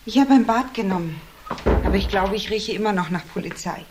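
A woman's voice: mid-range voice, a little fast, lightly acted. An actress playing a cool, wry woman in a German crime radio drama. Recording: clearly noisy with clicks.

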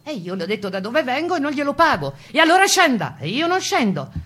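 A woman's voice: sits high, sing-song, fast, a bit bright. An actress playing a proud woman partisan, recounting her wartime memories as emphatic theatrical testimony. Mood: insistent. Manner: emphatic storytelling, acting out quoted exchanges. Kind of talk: theatrical first-person testimony monologue.

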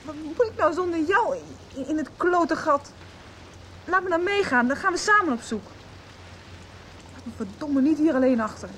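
Felle, trouwe tienermeid met hoge stem. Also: female voice, high voice, animated, moderate pace, long pauses, a bit bright, a little hiss or hum. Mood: upset, pleading. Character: fierce, loyal teenage girl Dia, pleading friend. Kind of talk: radio soap acting. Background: rain, music.

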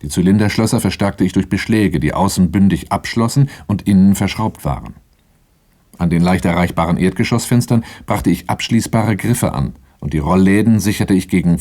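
A wary, brooding first-person narrator of a radio crime play. His voice sits very low and has a soft, dark tone. He tells things in a measured, matter-of-fact way. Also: expressive. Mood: methodical. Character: wary handyman-driver narrating his story, calm and brooding.

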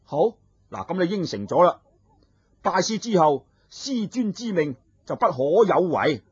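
A man's voice: high-pitched, sing-song, measured pace, husky, no echo, heavily acted. Sly young scholar guy, man narrator doing him, bouncy teasing voice, dull lo-fi sound. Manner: bargaining, teasing, wily. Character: clever cheeky young male scholar. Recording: dull lo-fi recording.

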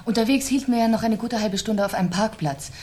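A woman's voice: fairly high pitch, fast talker, a little mellow, lightly acted. A woman playing a cool, guarded, newly widowed wife in a German radio crime drama, answering curtly and precisely. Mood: guarded, irritated. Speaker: actor in a radio crime play.

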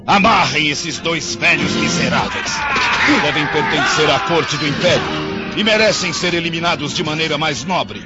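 A man's voice: on the high side, animated, normal speed, lightly acted. A male actor playing a brutal, jeering rebel fighter in a radio drama, commanding and full of scorn. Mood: scornful. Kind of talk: theatrical radio drama acting. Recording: dull lo-fi radio drama, prominent music.

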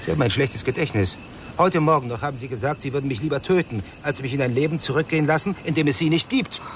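Gravelly, guarded man acted in radio drama. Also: fairly high pitch, quick pace, a little hiss or hum, natural voice. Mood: accusing. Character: Mark, guarded, bitter married lover, coolly accusing. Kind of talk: German radio crime drama acting, 1989.

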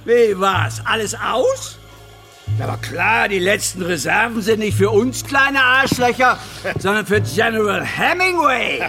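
An aggressive, sneering war reporter in a radio drama. His voice is high and sharp, his manner sarcastic and foul-mouthed, with music behind him. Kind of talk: German radio play acting.

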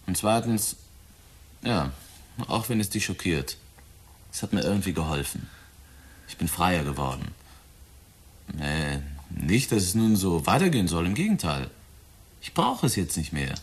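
Cynical, husky young murderer, animated and mocking. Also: male voice, sits low, a little slow, long pauses, exaggerated voice. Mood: callous. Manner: cold, flippant, mockingly insinuating. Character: cynical 23-year-old murderer taunting his father. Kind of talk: German radio drama acting.

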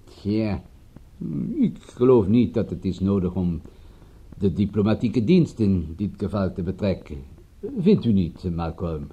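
A man playing a courteous French police inspector in a radio drama, with a French accent and halting, polite speech. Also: sits low, animated, mellow, slightly rough, highs cut off, exaggerated voice. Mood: puzzled. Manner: halting, polite speech with inverted word order.

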